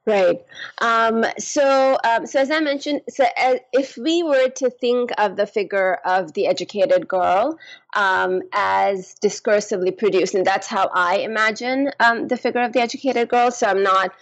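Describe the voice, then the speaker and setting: A woman's voice: medium pitch, normal speed, highs cut off. A woman from Pakistan explaining her academic work as an interview guest, in careful, hesitant short segments. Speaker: guest scholar and author.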